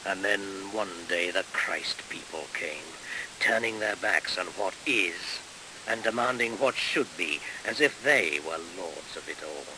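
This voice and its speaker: An actor playing an ancient, solemn and menacing midwinter shaman spirit in a radio play, speaking in incantatory, breathy half-whispers with dramatic pauses. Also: deep voice, expressive. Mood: bitter, resentful. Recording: hissy 1970s radio recording.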